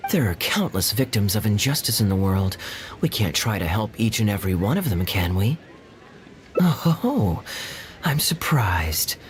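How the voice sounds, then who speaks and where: An actor playing a suave, teasing, calculating male captain in a video game, with a wry, smooth-talking manner. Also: on the low side, slight background noise. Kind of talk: video game character dialogue. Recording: music under the voice.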